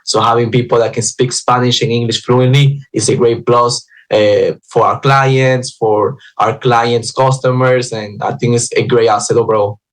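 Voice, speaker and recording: A man who works as a recruiter at a staffing agency, giving practical advice on a panel in a steady, advising way. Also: mid-range voice, moderate pace, hardly any pauses. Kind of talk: panel discussion.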